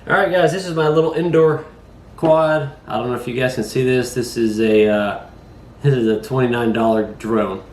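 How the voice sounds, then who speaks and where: A man's voice: mid-range voice, moderate pace, darkish tone, reverberant. A man recovering from a cold, hosting a casual drone review from his kitchen table in a folksy, chatty way with lots of fillers. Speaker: host of a drone review channel.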